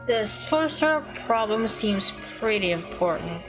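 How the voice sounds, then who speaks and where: A woman's voice: medium pitch, animated, unhurried, over music, poor audio. A woman game streamer giving casual live reactions in short, muttered asides.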